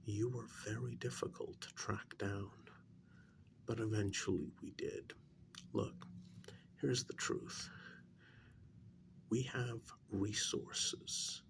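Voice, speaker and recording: A man playing a calm, menacing veteran werewolf agent in a soft ASMR role-play, gravelly and often near a whisper, with deliberate pauses. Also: on the low side, noisy background. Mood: coolly persuasive. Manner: slow, deliberate, pausing between short phrases.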